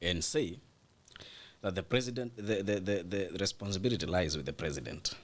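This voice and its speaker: A Kenyan man from the Mount Kenya region, a studio guest arguing a political point emphatically. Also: moderate pace. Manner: emphatic, rising pitch to press points. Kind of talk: political debate.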